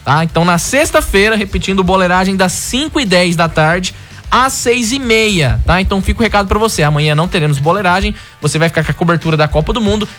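A Brazilian man hosting a casual sports chat show, chatty and quick to announce the schedule. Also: sits high, expressive, a bit bright, faint music behind. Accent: Brazilian Portuguese.

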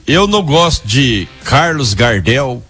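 A man's voice: medium pitch, very animated, slow pace, fairly bright tone, faint music behind. A man, a radio panelist who speaks bluntly and firmly about his likes and dislikes. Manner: blunt, opinionated. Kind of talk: casual sports talk banter.

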